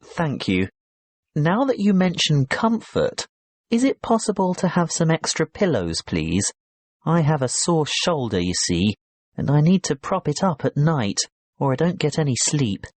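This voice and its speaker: A man playing a polite businessman checking into a hotel in a scripted listening-test dialogue, courteous and clearly spoken. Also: fairly high pitch, very animated, measured pace, no room sound. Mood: pleasantly interested.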